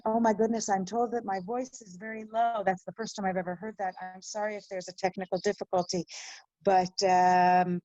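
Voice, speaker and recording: An earnest woman hosting an online memorial talk, with a mellow, soft-edged voice. She speaks reflectively and emphatically, leaning on key words. The sound is dull webinar audio from indoors. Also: medium pitch, dry recording. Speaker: host of an online commemoration.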